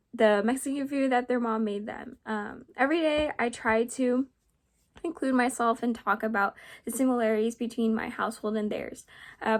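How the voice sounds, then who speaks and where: A teenage girl with a slightly high voice reads her personal essay aloud. She hesitates often, dropping in fillers and small laughs between phrases. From United States. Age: teens. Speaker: student reading an essay.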